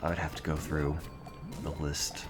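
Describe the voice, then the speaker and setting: A man's voice: rumbling low, flat delivery, normal speed. A young adult man streaming and answering his chat in a dry, rambling, offhand way. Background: music playing.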